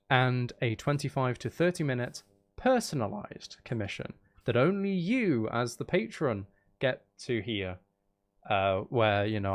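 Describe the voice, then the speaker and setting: A man hosting a live game stream, chatting casually and playfully to his viewers and to himself. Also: mid-range voice. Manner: playful, talks to chat and to himself. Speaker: streamer and channel host.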